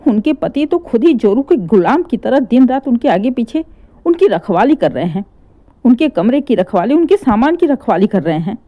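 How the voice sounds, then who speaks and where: A woman's voice: on the high side, sing-song, normal speed, muffled recording. A woman voicing a young married daughter who cries and accuses her brother. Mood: tearful. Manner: accusing, crying.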